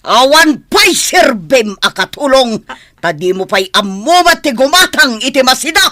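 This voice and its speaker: Woman playing a bright-voiced, temperamental rich madam. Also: high voice, big pitch swings, measured pace, exaggerated voice. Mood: scolding. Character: haughty, temperamental wealthy madam who turns contrite. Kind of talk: melodramatic Filipino radio-style drama acting.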